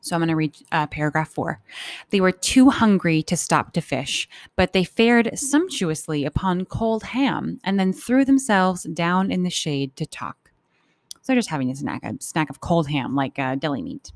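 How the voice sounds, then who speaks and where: A woman's voice: fairly low pitch, lively intonation, normal speed, no room sound. A woman teacher reading a story aloud for children and explaining it informally as she goes. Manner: informal, explanatory, acts out thoughts.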